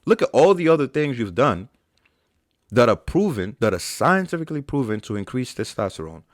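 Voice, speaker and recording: A man's voice: mid-range voice, very animated, a little fast, no room sound, clean studio sound. A young man hosting a fitness Q&A, bluntly and profanely debunking claims with an exasperated tone. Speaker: fitness channel host. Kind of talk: live Q&A, reading chat questions.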